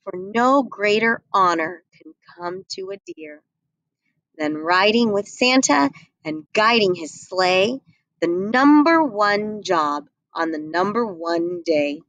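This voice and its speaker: A young adult woman reading a rhyming picture book aloud at a desk webcam, slow and expressive. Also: mid-range voice, no echo, low-quality recording. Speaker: storybook reader. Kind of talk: read-aloud storytelling. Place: small indoor room.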